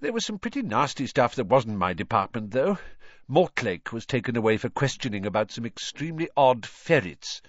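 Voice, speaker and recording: A man's voice: mid-range voice, very animated, moderate pace, dry recording, dull audio. A man voicing a tired, kindly middle-aged wizard father who stammers when flustered. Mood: weary. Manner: stammering, sheepish, weary.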